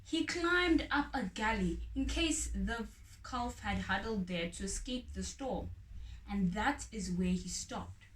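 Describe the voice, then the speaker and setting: A woman reading a children's story aloud in an expressive, dramatic way. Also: medium pitch, slow pace. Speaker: storybook reader. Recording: roomy echo, distant mic, faint noise.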